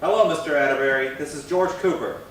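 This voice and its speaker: A man's voice: on the high side, fast talker, lightly acted. A man playing a huffy, easily flustered husband in a 1950s radio comedy, recorded from afar in an echoey hall. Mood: exasperated. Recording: echoey hall, distant mic, faint noise.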